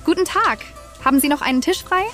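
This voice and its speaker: A woman's voice: high voice, quick pace, bright, forceful tone, faint music behind. A woman playing a polite, curious traveller in a comic audio drama. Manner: polite, questioning.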